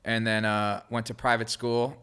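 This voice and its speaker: Low-pitched, even-toned man with a clear voice. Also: normal speed. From born in Israel, grew up in California. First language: Hebrew. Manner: heavy slangy fillers, restarts mid-thought. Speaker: podcast guest, creative director. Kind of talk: casual, rambling conversational storytelling.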